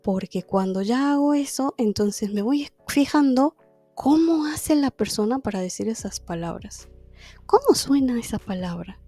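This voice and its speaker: A woman's voice: on the high side, lively intonation, unhurried, dark tone, dry recording, faint music behind. A woman teaching a language class, explaining methods in a conversational way and often asking herself rhetorical questions. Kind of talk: explaining a lesson to camera.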